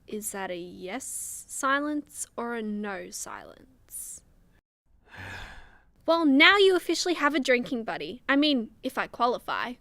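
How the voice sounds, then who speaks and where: Casting: actress as sharp-tongued hero gal for radio comedy, wry and direct, bright high-pitched delivery, close dry sound. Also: female voice, very animated, a little slow, gravelly, dry recording, exaggerated voice. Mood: surprised, softening. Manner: sarcastic, blunt. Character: sharp-tongued, wry young superheroine, a frank drinking buddy. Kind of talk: superhero comedy radio play acting.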